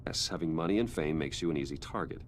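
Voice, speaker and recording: An actor playing a guarded, courteous wealthy businessman in a video game, answering questions in a measured, defensive manner. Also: very deep voice, slight background noise. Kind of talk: cinematic video game dialogue. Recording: music behind the voice.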